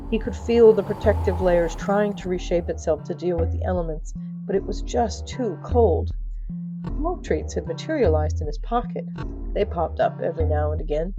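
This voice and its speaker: A woman's voice: medium pitch, highs cut off. Woman narrating a story over music. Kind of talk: dramatic comic story narration. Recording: background music under narration.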